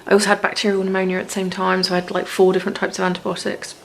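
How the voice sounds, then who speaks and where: A woman talking in a personal video diary from her apartment, speaking in a weary, low-energy way. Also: monotone, very fast, vocal fry, a little hiss or hum. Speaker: vlogger. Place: apartment at home.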